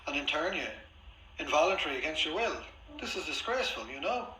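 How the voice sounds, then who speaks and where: Insistent man, sharp and forceful. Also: fairly high pitch, big pitch swings, brisk. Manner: insistent, repetitive, complaining. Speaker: patient on a phone call. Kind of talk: phone conversation, arguing his case. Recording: echoey, faintly noisy room, recorded phone call.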